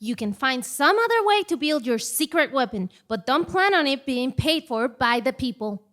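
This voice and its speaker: A woman's voice: sits high, sing-song, normal speed, a bit bright. A woman playing a defiant, suspicious citizen in a stage comedy, speaking assertively. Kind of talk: live absurdist comedy stage acting.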